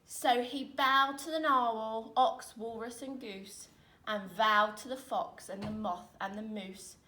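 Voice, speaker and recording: A woman reading a rhyming children's picture book aloud in an expressive, dramatic way, with deliberate phrasing. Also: fairly high pitch. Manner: dramatic, deliberate phrasing with emphatic swells and hushes. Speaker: storyteller reading aloud, teacher. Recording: roomy echo, distant microphone.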